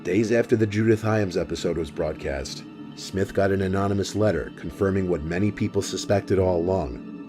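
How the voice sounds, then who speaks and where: A man reading a scripted documentary narration in a steady, even storytelling manner. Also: sits low. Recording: voice-over with clear music behind.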